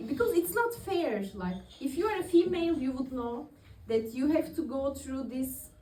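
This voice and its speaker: High-voiced woman doing stand-up from Turkey. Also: expressive, moderate pace, warm tone, recorded from a distance. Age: 30s. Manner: chatty, laughs at her own jokes. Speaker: stand-up comedian. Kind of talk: open-mic stand-up comedy. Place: comedy club stage, audience in the room. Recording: echoey room recorded from afar.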